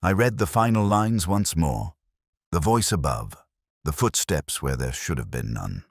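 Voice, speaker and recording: A man playing a weary, brooding older police inspector, narrating his case in a measured, solemn, deliberate way. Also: a bass voice, very animated, dry recording, studio quality, heavily acted. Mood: pensive, suspicious. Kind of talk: scripted first-person mystery narration.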